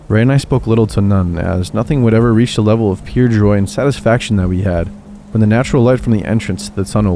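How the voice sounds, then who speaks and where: Male narrator, low-pitched and mellow, calm scripted horror reading. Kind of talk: scripted narration of reader stories. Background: faint music.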